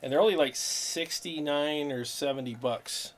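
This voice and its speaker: A man's voice: on the high side, normal speed. A man hosting a guitar livestream, chatting casually about gear with plenty of fillers and frequent laughs. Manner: laid-back, laughs often, talks gear with fillers. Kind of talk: casual chat.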